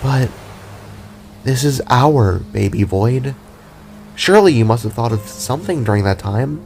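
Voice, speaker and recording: A man voicing a gentle, loving expectant mother in a fantasy audio drama, speaking softly and tenderly. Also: mid-range voice, animated, unhurried, faint music behind. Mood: warm, curious.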